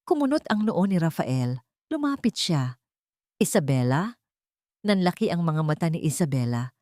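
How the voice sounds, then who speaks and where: A woman's voice: on the low side, sing-song, normal speed, dark tone, no echo, studio quality. A woman narrating an audio drama in a scripted, dramatic storytelling style.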